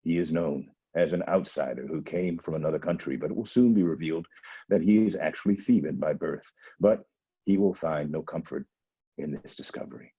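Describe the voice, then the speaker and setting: An actor playing a stern, foreboding blind old prophet in a dramatic reading over a video call, with a low, husky, deliberate delivery. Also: male voice, mellow, exaggerated voice. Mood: grim foreboding. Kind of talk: Zoom dramatic reading of a Greek tragedy. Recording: dull lo-fi video call audio.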